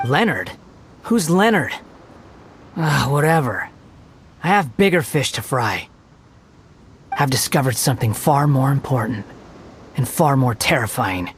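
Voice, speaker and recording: A man's voice: medium pitch, animated, measured pace, long pauses, slight background noise. A man voicing a haughty young villain in an anime video game, mocking, sneering and theatrical. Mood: grave, dismissive. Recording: game music behind. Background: game music.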